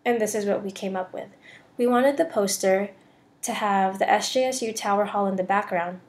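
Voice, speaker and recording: Student presenter, young American female, mid-range voice, scripted slideshow narration. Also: medium pitch. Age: young adult.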